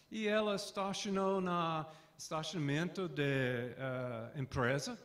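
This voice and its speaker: An older man from the southern United States, a native English speaker with an American accent, giving a conference lecture in halting speech full of "ah" pauses. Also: on the high side. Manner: halting, searching for words with frequent "ah" fillers.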